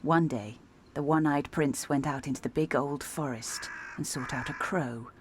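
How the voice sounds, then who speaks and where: A woman's voice: low voice, moderate pace, background noise, close to an everyday voice. An actress playing a stern, sardonic female assassin in a video game, with a dry, threatening delivery. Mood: dark storytelling.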